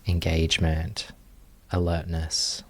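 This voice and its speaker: A man leading a guided meditation as a teacher, speaking in a gentle, unhurried manner with long pauses. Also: deep voice, monotone.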